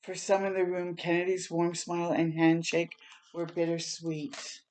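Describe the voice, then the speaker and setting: A woman's voice: lowish voice, completely flat delivery, unhurried, a little mellow. A woman reading a picture book aloud for children as a storytime host, in a dramatic, heartfelt and emphatic manner.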